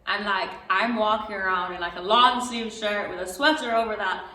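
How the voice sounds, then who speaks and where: A woman's voice: medium pitch, fairly even intonation, moderate pace, pushed and bright. A young woman from Jamaica chatting casually and laughing often as she hosts a talk with fellow students. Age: young adult. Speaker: host. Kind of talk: casual chat. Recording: echoey room, faint room noise.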